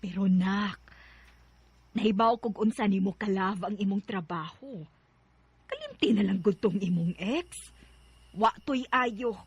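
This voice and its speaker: Caring mother, Filipino accent, breathy husky voice, tender, sentimental, radio play. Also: mid-range voice, measured pace, long pauses, dry recording, muffled recording. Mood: coaxing, concerned. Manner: tender, coaxing. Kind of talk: Philippine radio drama acting.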